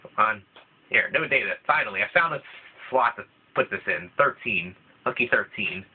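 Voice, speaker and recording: A man's voice: fairly low pitch, expressive, normal speed, husky. Man with a cold, tinny mic. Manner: rambling, with frequent restarts. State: voice thickened by a cold. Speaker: let's play gamer. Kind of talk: casual gameplay commentary. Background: video game music playing.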